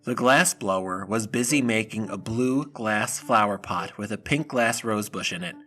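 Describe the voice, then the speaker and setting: A man's voice: lowish voice, moderate pace, sharp tone. A man narrating a scripted audio drama in the manner of a storybook reading.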